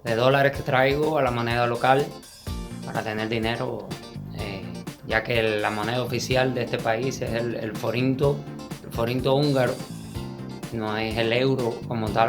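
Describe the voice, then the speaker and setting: A man's voice: medium pitch, fairly even intonation, normal speed, vocal fry. A man with a Cuban accent vlogging as he walks the streets in winter, chatty and thinking aloud, often repeating words mid-sentence. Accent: Cuban Spanish. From Cuba. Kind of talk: casual travel vlog narration. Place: city streets outdoors in winter. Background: music.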